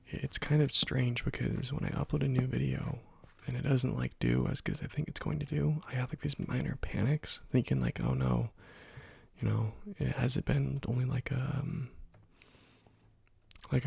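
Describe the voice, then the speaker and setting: A man hosting an ASMR gameplay video, talking softly into a close microphone, rambling with many fillers and slipping into whispers. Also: mid-range voice, vocal fry, breathy edge, dry recording. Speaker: ASMR gaming channel host. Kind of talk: soft-spoken ASMR gameplay commentary.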